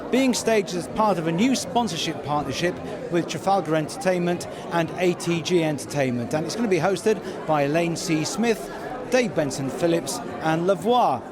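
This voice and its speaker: A man with a notably high-pitched voice presents and interviews as a podcast host. His delivery is upbeat, and loud crowd chatter from a busy venue surrounds him. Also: lively intonation, moderate pace. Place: theatre venue during red carpet arrivals.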